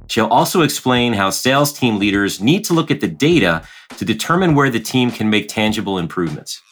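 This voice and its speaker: A man's voice: fairly low pitch, sing-song, a little fast, fairly bright tone, breathy edge. A man co-hosting a sales podcast in a friendly, conversational way. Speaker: podcast co-host, sales leader.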